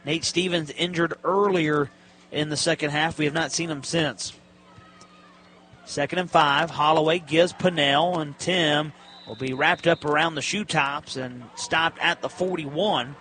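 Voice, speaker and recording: A high-voiced man calls a college football game on the radio. He describes the action in clipped, detailed phrases. Faint stadium noise sits behind his voice. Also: steady tone, unhurried, sharp tone. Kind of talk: live sports play-by-play commentary. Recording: live broadcast with stadium background noise. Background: stadium ambience, faint noise.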